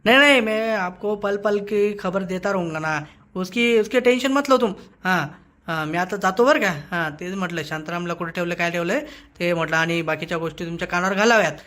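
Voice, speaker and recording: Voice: mid-range voice, fairly bright tone, natural voice. An actor playing an eager, nervous gang informant in a comic cartoon, repeating things and making defensive excuses. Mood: obliging. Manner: hurried, repetitive, defensive. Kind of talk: comic Marathi cartoon dialogue.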